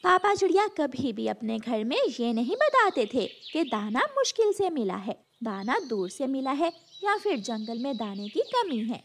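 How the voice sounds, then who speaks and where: Lady narrating a kids bird cartoon, high lively voice, little background noise. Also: measured pace, few pauses. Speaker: storyteller. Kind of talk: scripted children's cartoon narration.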